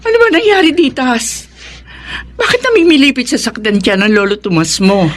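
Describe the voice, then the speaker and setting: A woman's voice: sits high, animated, moderate pace. Earnest Filipino single mother. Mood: alarmed. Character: principled hardworking single mother running a small eatery. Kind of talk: Filipino radio drama acting.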